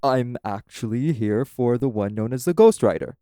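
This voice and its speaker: A man's voice: medium pitch, lively intonation, noisy background, lightly acted. A man playing a polite, earnest guardian angel who speaks formally and diplomatically in an audio roleplay. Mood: composed, formal. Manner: formal, courteous, measured explaining.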